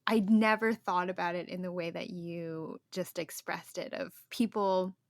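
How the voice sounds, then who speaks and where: A young woman hosting a podcast, talking casually and openly, quick to agree and share her feelings. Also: lowish voice, fairly even intonation, no echo. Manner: warmly engaged, quick affirmations, emotional. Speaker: podcast host. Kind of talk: casual conversation.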